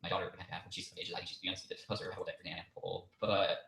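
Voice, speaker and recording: A man's voice: deep voice, flat delivery, rapid-fire, vocal fry, sharp tone. A man hosting a podcast, answering a listener's question in a conversational way.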